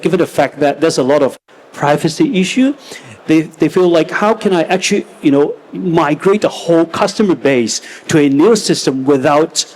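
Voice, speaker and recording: A man's voice: a little high, darkish tone. A man from Hong Kong speaking as a fintech panelist, hesitant with many restarts and fillers. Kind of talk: panel debate. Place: conference stage.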